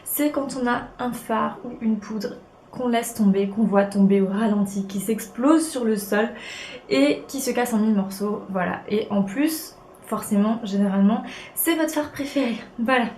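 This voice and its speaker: A woman's voice: on the high side, moderate pace, a little mellow. A young woman with a cold, sniffling as she chats bubbly and casually with many fillers through a beauty tutorial at home. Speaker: beauty vlogger. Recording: echoey room with faint noise.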